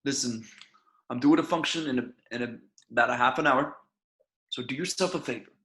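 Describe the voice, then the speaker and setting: A man's voice: mid-range voice, fairly quick, fairly bright tone, close to an everyday voice. A man reading a genial, patronizing police chief in a table read over a video call. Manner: soothing, patronizing.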